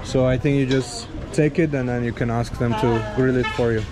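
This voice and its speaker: A man's voice: medium pitch, fairly even intonation, a little fast. A man in his thirties from Azerbaijan, talking casually to a handheld camera while he walks through a busy outdoor street market. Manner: chatty, friendly, talks to camera while walking. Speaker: travel vlogger. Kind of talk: casual walking vlog narration. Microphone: handheld camera on a selfie stick at arm's length. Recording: noisy outdoor street recording.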